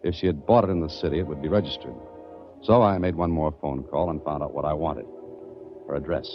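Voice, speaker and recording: A dogged, wisecracking sleuth of 1940s radio drama. His voice sits low and his delivery is hard-boiled and brash. The recording is dull and vintage, with music behind it. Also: a little fast. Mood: wry narrating. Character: wry, tough male amateur sleuth, brash and dogged. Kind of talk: 1940s radio detective acting and narration.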